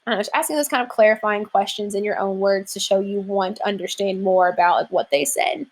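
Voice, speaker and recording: Casual young female teacher, relaxed filler-heavy delivery, mid-range voice, explaining an assignment. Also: medium pitch, fast talker. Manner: casual, chatty, many "like" and "um" fillers. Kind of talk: classroom lesson walkthrough.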